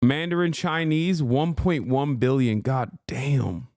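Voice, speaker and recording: A man's voice: mid-range voice, slow, darkish tone, dry recording, studio quality. A man from Turkey, a native Turkish speaker, hosting a casual live-stream reaction and bluntly teasing his viewers. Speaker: streamer host.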